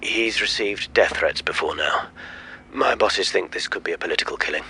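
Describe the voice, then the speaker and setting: An actor playing a stern police chief under pressure, speaking urgently and insistently in a radio drama. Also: male voice, low voice, moderate pace, vocal fry, pushed and bright, breathy edge, heavily acted. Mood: grave, insistent.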